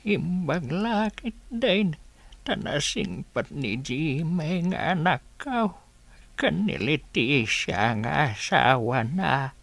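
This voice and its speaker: A woman acting as a weary, tender elderly father in a radio drama, speaking haltingly in a creaky voice. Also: low voice, big pitch swings, heavily acted. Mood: weary, gentle. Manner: slow, halting.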